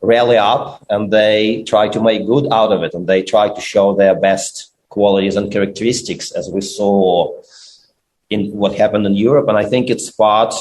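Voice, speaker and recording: A man from Ukraine with a Ukrainian accent, a guest speaking in a measured, earnest way. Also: lowish voice, fairly even intonation, a little mellow, poor audio. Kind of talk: casual conversation.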